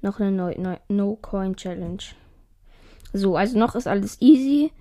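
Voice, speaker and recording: A young woman chatting casually through mobile game challenges, a little hoarse, her voice often climbing high and cracking. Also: unhurried, mellow. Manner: voice keeps rising high at phrase ends; chatty, swears casually when she fails. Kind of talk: casual gameplay commentary.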